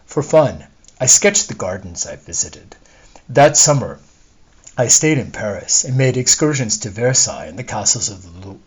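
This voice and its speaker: A man's voice: on the low side, slightly rough. A man reading a literary monologue as a wistful, discontented middle-aged wife, confiding and opinionated, in his own everyday voice. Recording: dull lo-fi recording.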